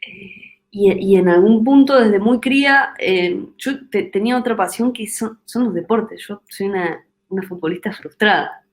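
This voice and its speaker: A young adult woman with an Argentine accent answers an interviewer at an ordinary pitch. She speaks in a reflective, searching way, pausing to find her words and circling back to restart her thoughts. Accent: Argentine Spanish. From Argentina, Córdoba. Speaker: guest singer-songwriter.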